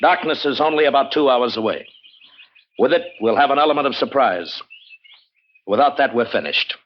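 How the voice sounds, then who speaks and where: An actor playing a decisive, earnest outlaw leader in an old-time radio drama, speaking deliberately and with command. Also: male voice, mid-range voice, lively intonation, natural voice. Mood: resolute. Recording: muffled, noisy old radio recording.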